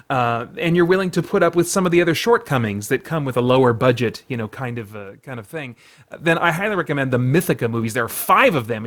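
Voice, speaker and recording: Higher-voiced man giving chatty reviews. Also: fairly bright tone. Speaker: podcast host and film reviewer.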